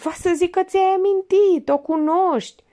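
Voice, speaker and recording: A woman voicing a sharp, sarcastic older female amateur detective who needles a suspect with pointed, mocking remarks. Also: very high-pitched, pitched above the performer's own voice.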